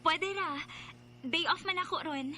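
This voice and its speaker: A woman's voice: very high voice, measured pace, bright, forceful tone, slight background noise, heavily acted. An actress with a Filipino Cebuano accent playing a dutiful, burdened young woman newly working in a bar, in an audio drama. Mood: shy, polite. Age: young adult. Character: young first-time bar worker, dutiful, burdened daughter. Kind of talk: dramatized radio-style storytelling with narration. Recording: background music under voice.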